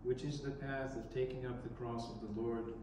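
A man in his thirties, an Orthodox priest, giving a reflective sermon with pauses, recorded from a distance in a large church. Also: mid-range voice, fairly even intonation. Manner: slow, reflective, with pauses and restarts. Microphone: distant camera in the congregation. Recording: echoey church from far off, background noise.

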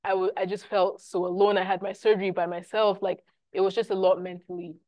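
Soft-spoken Scottish woman moderating a panel. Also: medium pitch, flat delivery, a little fast, no echo. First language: German. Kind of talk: panel discussion. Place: university classroom, indoors.

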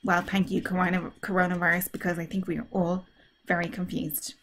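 A woman drama teacher reading a story aloud to children, asking them simple questions in an animated, child-directed way. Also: on the low side, a little fast, a little hiss or hum. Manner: animated, simple child-directed talk, acting out feelings and sounds.

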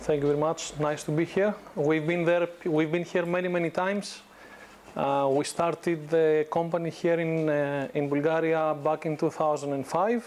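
A man's voice: fairly high pitch, normal speed, a little hiss or hum. A man in his thirties from Greece, giving a conversational guest lecture in a university classroom on a lapel mic, with many restarts. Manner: relaxed, conversational, frequent restarts and fillers. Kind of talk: informal business lecture.

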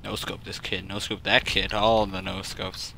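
A young man giving joking, excitable let's play commentary while playing a shooter game. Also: low-pitched, moderate pace, gravelly, slight background noise. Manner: goofy, joking, excitable outbursts. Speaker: gaming YouTuber.

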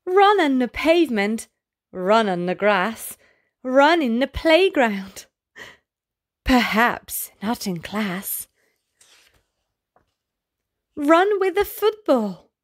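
High-pitched, very animated woman storyteller. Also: drawn-out words, long pauses. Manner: sing-song, exaggerated delivery for young children. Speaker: children's storyteller. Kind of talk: expressive read-aloud of a picture book.

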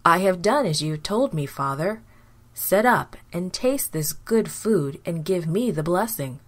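A woman's voice: on the low side, lively intonation, measured pace. A woman playing a dutiful but deceitful young son in a children's Bible story, speaking plainly and earnestly. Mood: falsely earnest.

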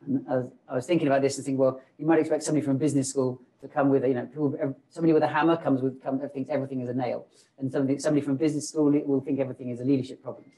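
A middle-aged man talks quickly on a panel, often stumbling and repeating words as he goes. His voice is flat and mellow, and it comes through a lapel mic. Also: medium pitch, low-quality recording. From not South Africa. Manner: fast, stumbling with many repetitions. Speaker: business school panelist. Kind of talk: panel discussion. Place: indoor conference room.

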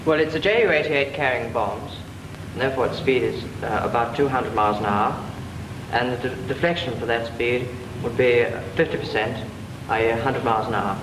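A man's voice: medium pitch, a little fast, natural voice. An actor playing an earnest young male trainee pilot, carefully working his answers out aloud. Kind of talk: 1940s training film acting. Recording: distant, echoey, hissy 1940s soundtrack.

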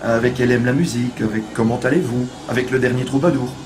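A man's voice: medium pitch, steady tone, fast talker. A man, chatting casually as a singer being interviewed, with frequent hesitations. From Belgium. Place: small kitchen backstage at a bar. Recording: music playing in background.

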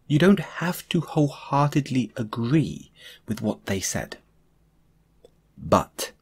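A man speaking in a soft, breathy, sometimes whispered voice, gently reassuring the listener in an intimate comfort roleplay monologue. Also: medium pitch. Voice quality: breathy, hushed, at times whispering. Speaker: audio roleplay performer.

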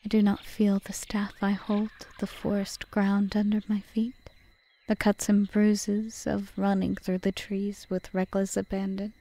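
A woman playing a calm, calculating forest witch in an audio roleplay, speaking in a measured, formal, often whispery way. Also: medium pitch. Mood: solemn, wistful. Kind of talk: ASMR roleplay monologue.

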